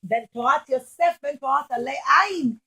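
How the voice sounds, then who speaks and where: A woman's voice: high voice, moderate pace, pushed and bright. A woman telling Bible stories to young children as a teacher, in simple, emphatic, repetitive phrases with questions to the listeners. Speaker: children's religious teacher and storyteller.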